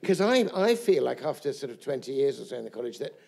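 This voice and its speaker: A man's voice: mid-range voice, sing-song, quick pace, gravelly, mellow. A middle-aged man, a former college chaplain, offering a thoughtful, musing comment from the audience. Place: college event hall with an audience.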